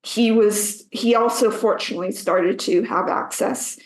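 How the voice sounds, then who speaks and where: A Canadian woman in her thirties, a guest writer answering interview questions in a measured, careful way with some hesitations. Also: mid-range voice, reverberant, rough recording. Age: 30s. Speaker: guest, writer and public speaker.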